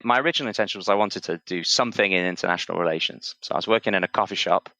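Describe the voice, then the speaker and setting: A Welsh man in his thirties talks in a low register with a bright, forceful edge. He reflects on his past, hedging with small phrases. The sound is close and dry. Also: rapid-fire, no echo. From Wales. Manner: thoughtful, many hedges like "kind of" and "I suppose". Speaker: podcast guest. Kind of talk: reflective conversational interview.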